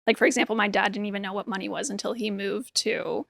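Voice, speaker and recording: A woman's voice: medium pitch, fairly even intonation, fast talker, creaky, a bit bright, dry recording, studio quality. A woman whose first language is Hebrew, chatting casually as a podcast guest, with plenty of "like" and "um" and easy laughter. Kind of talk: casual conversational interview.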